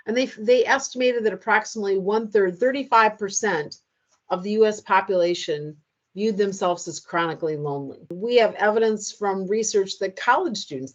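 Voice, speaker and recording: Middle-aged woman lecturing steady and clear, mid pitch, bit muffled like an online call. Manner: measured, explanatory, addresses the audience directly. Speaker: lecturer on loneliness in later life.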